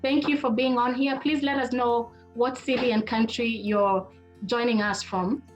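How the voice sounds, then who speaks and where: High-pitched woman presenting online. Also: fairly even intonation, a little slow, faint music behind, low-quality recording. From Kenya. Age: middle-aged. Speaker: webinar host and presenter, marriage therapist. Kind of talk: conversational webinar presentation. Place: online video call.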